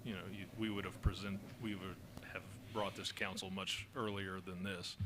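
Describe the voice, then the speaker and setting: Man, fire service official, low voice, flat monotone, steady explanations, noisy council chamber. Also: normal speed. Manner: steady, explanatory, frequent fillers and restarts. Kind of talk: answering questions at a council meeting. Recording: noisy meeting room recording.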